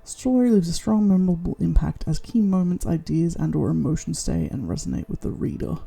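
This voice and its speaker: An Australian woman with a low, gravelly voice chats casually as she scores her own story. Her tone is dark and husky, and her pitch swings widely as she talks through her thoughts. She sounds self-deprecating and chatty. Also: animated, a little fast. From Tasmania, Australia. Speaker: vlogger. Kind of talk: casual self-rating chat.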